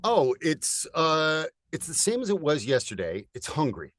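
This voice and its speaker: A man in his forties from California, a voiceover artist chatting as a guest in an upbeat, energetic way with lots of fillers. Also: fairly high pitch, normal speed, no echo, studio quality. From United States (California). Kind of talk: casual interview chat.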